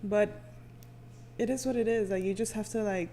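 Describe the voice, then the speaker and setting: A woman's voice: mid-range voice, steady tone, normal speed, a loud crowd. A woman who is a radio personality, chatting informally as a podcast guest and slipping into Spanish at times. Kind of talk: casual chat.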